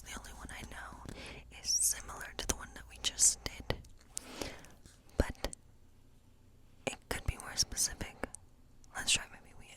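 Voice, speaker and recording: Woman playing a whispering, gentle tarot witch. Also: lightly acted. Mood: gentle, encouraging. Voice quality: breathy, unvoiced whisper. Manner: rambling, gentle, lingering over phrases. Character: friendly, chatty woodland witch reading tarot cards. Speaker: ASMR role-play performer. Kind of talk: whispered ASMR role play.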